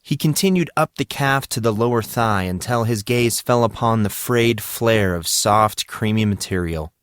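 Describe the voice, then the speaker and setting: A man's voice: lowish voice, moderate pace, no echo. A man narrating fiction in a scripted, dramatic audiobook style.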